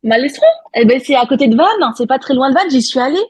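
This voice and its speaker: A woman hosting a casual interview, interjecting quickly and reacting in an animated way. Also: high-pitched. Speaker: medium, interview host.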